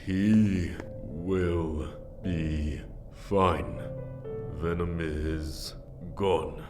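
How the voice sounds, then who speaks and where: An actor playing a quiet, calm man in an audio drama, speaking in terse, halting phrases. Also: low voice, music in the background. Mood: flat reassurance. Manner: terse, halting, sparse words.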